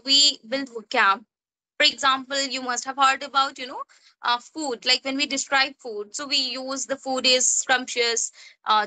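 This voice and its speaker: A woman's voice: high-pitched, pushed and bright, low-quality recording. A young woman with an Indian English accent, a native Hindi speaker, tutoring English casually on a video call and often adding "you know" and "right?". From Jharkhand, India. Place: small room indoors. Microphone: phone at arm's length on a video call.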